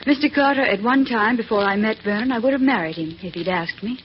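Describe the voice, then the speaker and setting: A woman's voice: on the high side, quick pace, lightly acted. A woman playing an anxious, devoted wife in an old radio drama, genteel, earnest and emotional. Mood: pleading, devoted. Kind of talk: 1940s radio acting. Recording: muffled, noisy old radio recording.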